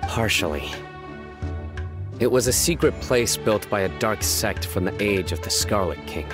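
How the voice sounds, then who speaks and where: A man's voice: fairly low pitch, expressive, measured pace. An actor playing a stern, guarded male investigator in a video game, speaking in a grave and formal manner. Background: music.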